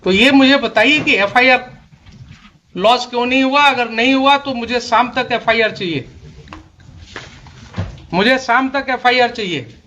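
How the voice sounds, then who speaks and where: A high, sharp-voiced Indian man speaks as a stern judge, pressing his points in a deliberate, slower delivery. He questions and orders insistently. The recording is dull, with faint background noise. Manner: stern, reprimanding, insistent, repeats himself. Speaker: judge presiding over a court hearing. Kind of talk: courtroom questioning and oral directions, mixing Hindi and English. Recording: muffled, noisy courtroom recording.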